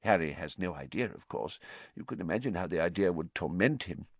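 A man's voice: sits low, animated, brisk, slightly rough, no room sound, heavily acted. A man voicing a pompous, blustering middle-aged government minister in a storybook. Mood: confiding.

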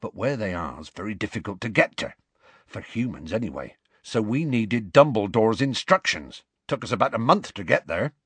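A big, gruff half-giant groundskeeper, as a male reader puts him on, low and husky, rumbling along in a rustic dialect with lively swings in tone. The recording is dry and close. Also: moderate pace, dry recording, exaggerated voice. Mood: storytelling.

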